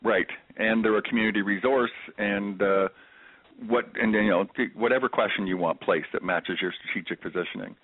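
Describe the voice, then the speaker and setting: A man's voice: lowish voice, fairly even intonation, fairly quick, no room sound. A man hosting a call-in discussion, chatty, with lots of fillers and restarts. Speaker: host of a call-in webinar. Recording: phone-line audio.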